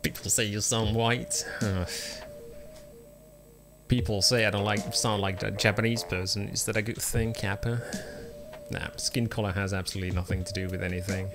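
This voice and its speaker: A man's voice: lowish voice, fairly even intonation, moderate pace. A man streaming a video game, chatting casually with viewers and rambling with many ums and uhs. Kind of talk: casual live-stream commentary while gaming. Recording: game music playing behind. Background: game music.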